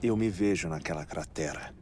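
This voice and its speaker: A man with a Brazilian accent playing an eccentric, courteous, grieving scientist in a video game dub, speaking in a measured, articulate way. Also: very deep voice, background noise. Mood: wistful. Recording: music under the voice.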